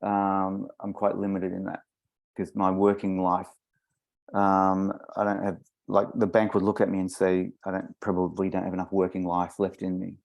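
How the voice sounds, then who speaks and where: A man in his fifties speaking in a coaching session over a video call, hesitant and halting, with many pauses. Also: deep voice, monotone, gravelly, a little mellow. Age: 50s.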